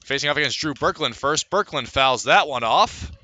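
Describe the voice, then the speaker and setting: A man calling live baseball play-by-play as a radio announcer, conversational between pitches and lifting his delivery for the action. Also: normal speed, no room sound. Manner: lifts into excitement on plays, conversational between pitches.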